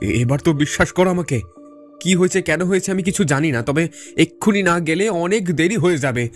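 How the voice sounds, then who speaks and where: An actor plays an earnest male friend telling a crime drama. His voice sits fairly high and is insistent, with soft music behind it. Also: lively intonation, fast, natural voice. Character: urgent male friend bringing bad news, also narrating. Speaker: actor and story narrator. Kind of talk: dramatic Bengali audio story.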